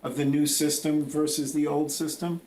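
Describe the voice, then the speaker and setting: A man's voice: on the high side, little pitch movement, normal speed, a little mellow. A man asking questions as a reporter at a press conference. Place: indoor press conference room. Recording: roomy, echoing press room.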